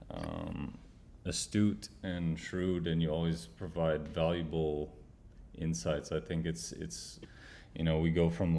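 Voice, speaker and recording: A young man hosting an interview, asking questions in a halting way with many fillers. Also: very deep voice, a little mellow. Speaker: medical student host. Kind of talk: interview host.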